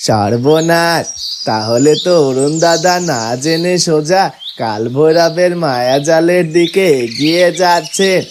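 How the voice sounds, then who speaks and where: A man's voice: on the high side, slow pace. A man voicing a loyal, worried friend in a cartoon fairy tale, urgent and earnest. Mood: alarmed, urgent. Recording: clear noise with birdsong.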